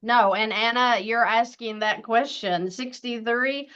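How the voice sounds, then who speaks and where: A woman's voice: a little high, a little slow, a bit bright, smooth. A woman in her fifties hosting a casual interview chat, talking in a chatty, upbeat way with some restarts. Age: 50s. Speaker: host. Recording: dull lo-fi sound.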